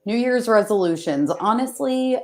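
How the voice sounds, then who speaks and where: A woman co-hosting a casual podcast chat, relaxed and offhand, her throat a little scratchy. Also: a little high, moderate pace. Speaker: podcast co-host.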